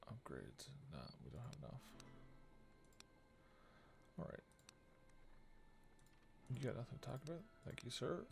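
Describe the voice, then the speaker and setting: Low-key man with heavy vocal fry. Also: wildly swooping pitch, a little slow, pauses often, noisy background. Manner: low-key muttering, reading card text aloud. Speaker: game streamer. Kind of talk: casual let's play commentary, thinking aloud.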